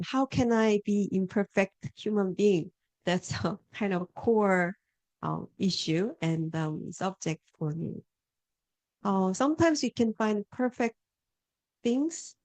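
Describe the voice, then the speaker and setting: A woman from Korea with a Korean accent, an artist giving a talk, speaking haltingly and pausing to search for words. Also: fairly low pitch, dark tone, no room sound, poor audio.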